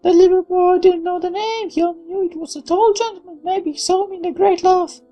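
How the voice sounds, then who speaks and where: A man's voice: very high voice, steady tone, a little fast, dark tone, soft background music, voice pushed up. A man voicing a spoiled, gushing young daughter in a visual novel, in a girlish way.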